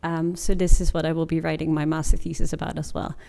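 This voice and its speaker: A woman's voice: sits low, little pitch movement, fast, gravelly, warm tone. A woman in her twenties giving an informative presentation in an informal, explanatory way. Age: 20s. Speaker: master's student presenter.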